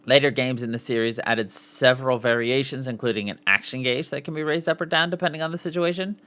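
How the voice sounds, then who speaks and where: A man with a mid-pitched voice reads written material aloud as a podcast host. His delivery is informal, with the odd off-the-cuff aside and self-correction as he works through the text. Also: fast, fairly bright tone, clear, resonant tone. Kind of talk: reading an article aloud with casual asides.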